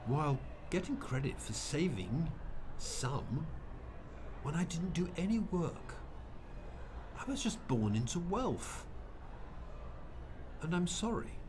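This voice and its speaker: A man's voice: on the high side, background noise. A man in a radio drama playing a guilt-ridden wealthy man, earnest, emphatic and pleading. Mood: remorseful, pleading.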